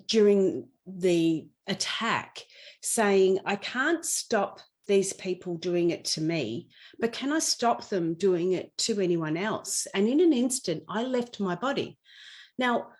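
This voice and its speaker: A middle-aged woman speaking as a podcast guest in an earnest, explanatory way, repeating phrases for emphasis as she shares in conversation. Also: mid-range voice. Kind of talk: conversational personal storytelling and explanation.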